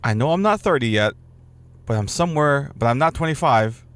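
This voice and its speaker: A man in his thirties co-hosting a podcast in a relaxed, playful way, often laughing and reading listener posts aloud. His middle-pitched voice swings up and down with animated energy. The sound is dry and close, with no room around it. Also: fairly quick, clear, resonant tone, no room sound. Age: 30s. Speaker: podcast co-host. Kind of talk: casual chat.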